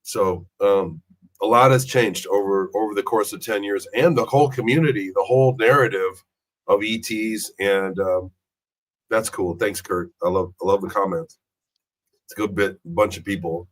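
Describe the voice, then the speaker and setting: A man talking as a guest on an online show, hoarse from a cold. His voice sits fairly low, and he talks in an earnest, rambling way with many fillers. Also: moderate pace, low-quality recording. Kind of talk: casual interview talk.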